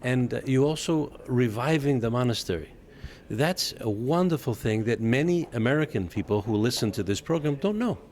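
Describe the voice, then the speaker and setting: A male host speaks at an average pitch in a conversational interview style. His delivery is deliberate and emphatic, circling back on phrases, with faint ambient noise behind him. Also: lively intonation, darkish tone.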